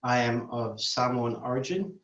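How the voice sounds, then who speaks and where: Nz guy talking community work, mid pitch, stops and restarts a lot, echoey kinda muffled audio. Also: a bit bright. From New Zealand. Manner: measured, with frequent restarts and fillers. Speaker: community worker. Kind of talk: interview monologue.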